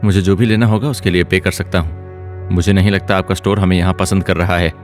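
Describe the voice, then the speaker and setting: Casting: man playing young secret big shot, very low voice, brisk, casual, self-assured, audio drama. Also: steady tone, faint music behind, close to an everyday voice. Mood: calm, cool.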